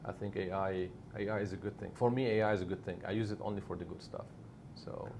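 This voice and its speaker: A middle-aged man whose first language is Arabic speaks in a low, gravelly voice. He talks emphatically and keeps checking in with "right?". Faint noise sits under the speech. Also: fairly even intonation, fast talker, a little mellow. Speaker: media executive guest. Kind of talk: conversational interview answers.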